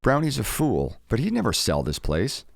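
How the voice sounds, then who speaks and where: An actor playing a stoic, proud, stubborn cowboy in an audio drama, terse and gruff as he grumbles. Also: mid-range voice, huge pitch swings, normal speed, dry recording, clean studio sound. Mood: grumbling.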